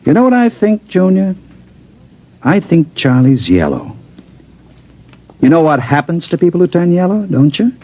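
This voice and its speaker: An actor playing a smug, menacing gang boss in an old-time radio crime drama, talking in a condescending way. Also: male voice, fairly high pitch, very animated, normal speed, long pauses, dark tone.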